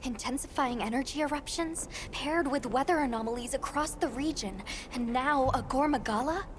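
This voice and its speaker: A woman's voice: high voice, normal speed, faint music behind, natural voice. A woman playing an earnest, encouraging young field researcher in a video game, speaking articulately. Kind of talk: video game cutscene acting.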